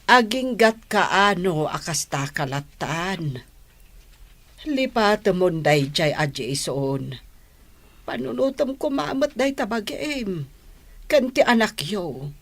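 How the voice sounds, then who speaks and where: A woman's voice: mid-range voice, big pitch swings, slow pace, a bit bright, exaggerated voice. A woman acting a worried, loving older mother admonishing her child in an Ilocano Filipino radio drama. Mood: pleading, admonishing.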